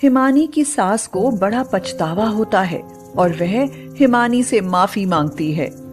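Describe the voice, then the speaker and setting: Slow-paced female story narrator. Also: mid-range voice, expressive, faint music behind. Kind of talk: scripted dramatic story narration.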